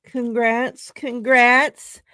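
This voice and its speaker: A woman's voice: on the high side, flat delivery, drawn-out words, sharp tone, no room sound. A woman hosting a casual live-stream chat, laughing often and reading out giveaway numbers. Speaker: show host.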